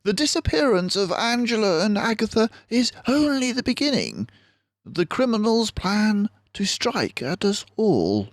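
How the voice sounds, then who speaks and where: A man's voice: high voice, very animated, measured pace, no echo, clean studio sound, voice pushed up, full character voice. A man voicing a keen, confident mouse detective in a storybook, speaking in a precise, theatrical way. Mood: grave, urgent.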